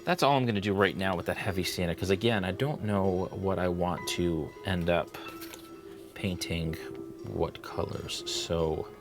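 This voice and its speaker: Deep gravelly man, chatty painting host. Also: a little slow, over music. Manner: relaxed, chatty, thinks aloud while painting. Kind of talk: live-stream painting tutorial.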